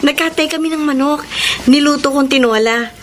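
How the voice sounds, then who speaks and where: A woman's voice: sits high, moderate pace, a little hiss or hum, close to an everyday voice. A woman with a Filipino accent acting a helpful village neighbor in a radio drama. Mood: friendly, caring.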